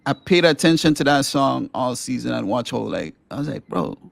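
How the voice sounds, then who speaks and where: A middle-aged man from Grenada with a light, somewhat squeaky voice, recorded close and dry with no room sound. He hosts the chat with eager, rambling talk and often starts over mid-sentence. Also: animated, normal speed, gravelly, no echo. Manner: enthusiastic, rambling, many restarts. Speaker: podcast host and musician. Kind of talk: casual podcast interview.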